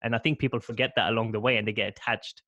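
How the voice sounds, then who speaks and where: A man's voice: medium pitch, steady tone, racing, bright, forceful tone, dry recording, highs cut off. A man in his thirties hosting a podcast, asking thoughtful questions with stammering restarts into a close studio mic. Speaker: podcast host. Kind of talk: interview questions. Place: small room at home.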